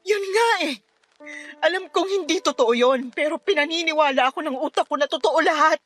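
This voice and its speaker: High-voiced despairing Filipino mother. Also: fairly quick, a bit bright. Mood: tearful, anguished. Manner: curt and sullen, breaking into tearful pleading. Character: despairing, sleepless young mother, withdrawn then pleading. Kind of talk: Filipino radio drama acting. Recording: music behind the voice.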